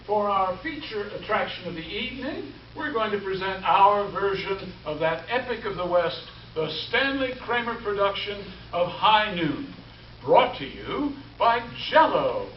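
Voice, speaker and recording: Man as high-voiced, unhurried old-time radio announcer. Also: very animated, slight background noise. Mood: upbeat selling. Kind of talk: 1940s radio announcing, scripted sponsor pitch. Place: live stage show before an audience. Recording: echoey, muffled live stage from far off.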